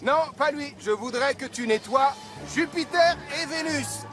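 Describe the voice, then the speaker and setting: Upbeat kind cartoon fireman, really high voice, reassuring, music behind. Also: a little slow, a bit bright. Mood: friendly. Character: kind, dependable heroic fireman, upbeat and helpful. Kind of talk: animated children's cartoon dub.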